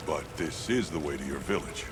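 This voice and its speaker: An actor playing a stoic, terse monster hunter in a video game cutscene. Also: male voice, a bass voice, animated, a little fast, loud music behind the voice.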